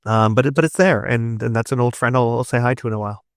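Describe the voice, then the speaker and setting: A man talks casually as a guest in an interview. His voice sits at a middle pitch and the sound is very dry. He tells things eagerly in a loose, rambling way, doubling back on words as he goes. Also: fast, no echo. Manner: rambling, repeats words in bursts, enthusiastic storyteller. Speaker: interview guest, narrative designer and writer.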